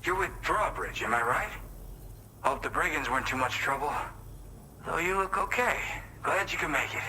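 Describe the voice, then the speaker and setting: A man's voice: a little high, lively intonation, moderate pace. An actor playing a friendly, upbeat man in a video game, talking in a casual, welcoming way. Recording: loud ambient noise behind.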